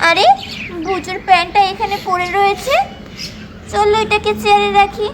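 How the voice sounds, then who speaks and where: A woman's voice: extremely high pitch, flat delivery, moderate pace, heavy background noise. A woman playing a fussy, loving young village mother in a doll cartoon, coaxing and scolding with many exclamations. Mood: gentle, caring. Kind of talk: doll-play cartoon story acting.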